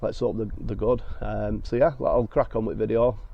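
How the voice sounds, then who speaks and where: A man with a croaky, hoarse voice from being ill, hosting a car detailing vlog and explaining each step in a chatty way with many fillers. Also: on the low side, little pitch movement, normal speed, slight background noise. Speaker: car detailing channel host. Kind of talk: casual talk to camera with fillers. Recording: dull lo-fi sound.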